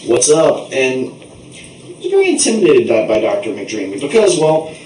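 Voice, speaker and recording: A man's voice: mid-range voice, huge pitch swings, normal speed, a little mellow. A man improvising a comic story as a puppet show narrator, with a playful, rambling, theatrical delivery. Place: bathtub in a bathroom. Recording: echoey bathroom, distant noisy mic, water sounds.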